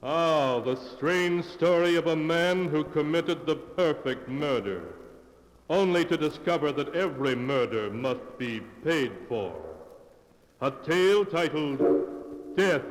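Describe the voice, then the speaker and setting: A man narrating a 1940s radio horror show as announcer, ominous, theatrical and deliberate. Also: fairly high pitch, animated. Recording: old radio recording with long reverb.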